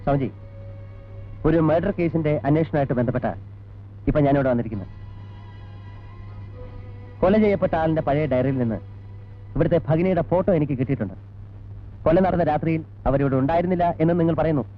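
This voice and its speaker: Man playing a brisk, fast-talking police detective. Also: fairly high pitch, soft background music, close to an everyday voice. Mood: insistent. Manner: brisk, probing, businesslike. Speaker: actor in a film. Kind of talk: 1980s film drama acting. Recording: dull old film soundtrack.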